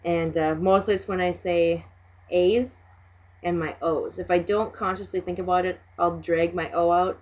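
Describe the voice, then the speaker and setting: A woman's voice: fairly low pitch, steady tone, moderate pace. A young woman from Minnesota with a Minnesota accent, hosting a casual video chat, chatty and laughing often between remarks. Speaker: host. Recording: dull lo-fi sound.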